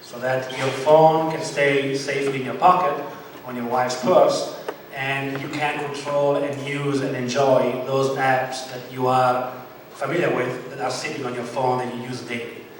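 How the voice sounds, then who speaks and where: A company president introducing himself on a panel, brisk and plain. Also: male voice, medium pitch, level tone. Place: event room. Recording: echoey hall mic from far off, background noise.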